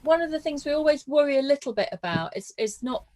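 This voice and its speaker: A woman's voice: sits high, lively intonation, fast, darkish tone. A woman talking casually and reflectively, earnest and rephrasing herself as she goes.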